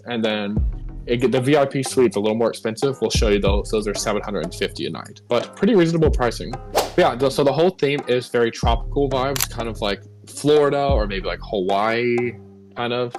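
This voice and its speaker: Guy doing a chill game walkthrough tour, chatty, upbeat, music playing under him. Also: mid-range voice. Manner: chatty, upbeat guide talk. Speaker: co-host giving a game tour. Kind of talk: casual game tour commentary. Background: background music.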